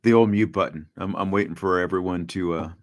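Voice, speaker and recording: A middle-aged man hosting a casual sports talk show over a video call, joking and animated. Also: low-pitched, fairly quick. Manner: joking, animated, gestures while talking. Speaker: show host. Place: home studio with framed pictures. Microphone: large studio mic with headphones, video call.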